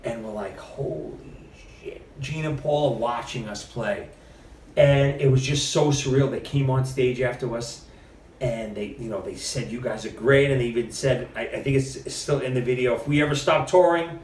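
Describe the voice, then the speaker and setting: A middle-aged man, a podcast guest, telling stories in a casual, animated way and acting out what other people said. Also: medium pitch, moderate pace, a little hiss or hum. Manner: animated storyteller, quotes others with lively shifts. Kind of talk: casual storytelling interview. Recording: roomy, mic at a distance.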